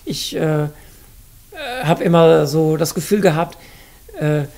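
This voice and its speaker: A middle-aged man, the musical arranger, speaks thoughtfully in an indoor interview, pausing with fillers as he searches for words. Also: fairly high pitch, steady tone, creaky, warm tone. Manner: thoughtful, hesitant with fillers, gestures while talking.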